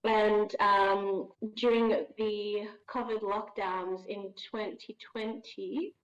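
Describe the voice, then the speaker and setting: A woman's voice: mid-range voice, little pitch movement, slow pace. A woman from Ghana, a voice actor guest on a podcast, talking in a friendly, thoughtful way and laughing easily. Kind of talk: casual podcast interview. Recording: dull lo-fi audio.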